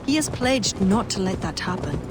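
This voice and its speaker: An actress playing a wise, composed female ally, calm and explanatory. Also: medium pitch, moderate pace, over loud music, natural voice. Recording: game audio with ambient noise.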